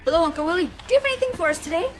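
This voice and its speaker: A woman's voice: very high-pitched, animated, very fast, a little hiss or hum. A woman playing a bold, pushy young girl who teases and coaxes, in an audio drama. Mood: coaxing.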